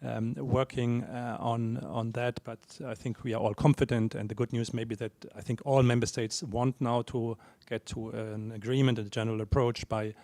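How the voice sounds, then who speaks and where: A man, an official speaking on a policy panel in a measured, formal way, pausing often on fillers. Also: mid-range voice, flat delivery, darkish tone, dry recording. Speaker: European Commission official. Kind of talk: panel talk.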